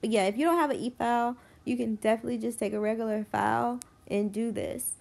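A woman's voice: on the high side, fairly even intonation, fast talker, gravelly, a little mellow. A young woman narrates a nail tutorial in a loose, chatty way, full of fillers and asides. Her voice sounds stuffy and congested, as if she has a cold. She speaks close to the mic. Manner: rambling, chatty, lots of fillers. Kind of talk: casual voice-over tutorial.

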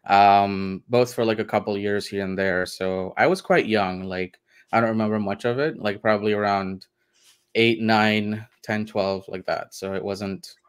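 A man from India talks as a guest over a video call from home. He is relaxed and thoughtful and often hedges. His voice is lowish, smooth and clear. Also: a little slow. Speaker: interview guest. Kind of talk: casual interview.

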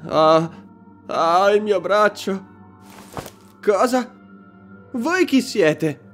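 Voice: mid-range voice, expressive, extremely slow, a bit bright, faint music behind. A performer voicing a bewildered, cheeky younger animatronic rabbit in an incredulous cartoon dub.

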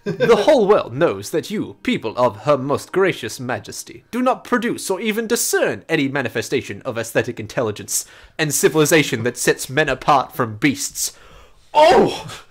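A man putting on a haughty French gentleman thief. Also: a little high, sing-song, normal speed. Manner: grandiose, mock-French reading of a letter. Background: game music.

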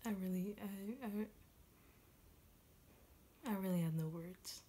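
A woman in her twenties chatting casually and excitedly as a reaction video host, halting and leaning often on "like". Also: mid-range voice. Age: 20s. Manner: excited, gushing; halting, repeats "like" heavily. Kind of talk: casual reaction vlog chat. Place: small room at home, indoors. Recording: clearly noisy home room recording.

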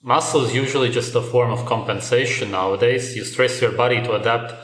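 Man, blunt commentary, room echo, mid pitch. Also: fairly quick, a bit bright, a little hiss or hum. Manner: dismissive, blunt critique. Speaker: reaction video host. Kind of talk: commentary over clips. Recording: roomy echo.

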